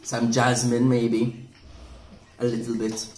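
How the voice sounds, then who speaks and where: A man in his forties, casually reviewing a wine at a table indoors, relaxed and thoughtful, often repeating words as he restarts. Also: medium pitch, slow pace. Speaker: wine shop manager reviewing wine. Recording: echoey room with faint noise.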